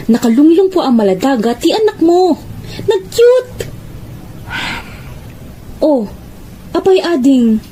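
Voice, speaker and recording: A woman acts out a dutiful, kind young elder sister in a radio drama. Her voice is high and mellow, with lively swings and an Ilocano Filipino accent. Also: slow pace, pauses often, slight background noise, exaggerated voice. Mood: gently concerned.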